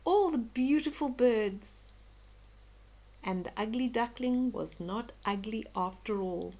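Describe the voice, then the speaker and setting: An older woman reading a children's bedtime story aloud in a gentle, expressive, dramatic way. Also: fairly high pitch. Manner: slow, gentle, dramatic, with hushed whispery moments.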